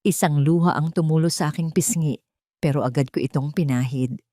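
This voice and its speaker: Woman, Filipino accent, lowish voice, dry close sound, measured dramatic storytelling. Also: dark tone, no room sound. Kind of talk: scripted first-person dramatic story narration.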